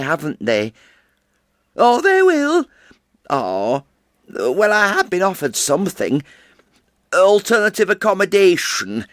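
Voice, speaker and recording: An actor playing a chatty, fretful elderly widow who rambles and interrupts herself. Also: male voice, a little high, dry recording, studio quality. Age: older. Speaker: actor performing a character monologue. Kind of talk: stage character monologue.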